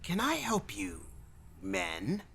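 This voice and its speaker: Man putting on a weaselly, high-voiced desk attendant. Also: wildly swooping pitch, slow pace, creaky, sharp tone, slight background noise, exaggerated voice. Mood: awkward, wary. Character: goofy, greasy, snotty weasel of a desk attendant. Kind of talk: acted dialogue in a narrated story.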